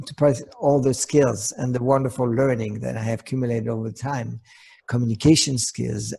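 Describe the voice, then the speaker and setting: A man from Switzerland with a Swiss accent, co-hosting a conversational talk on coaching, searching for his words in a halting manner. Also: mid-range voice, vocal fry, a little mellow, slightly rough. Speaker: co-host, relationship coach. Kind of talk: semi-prepared conversational presentation.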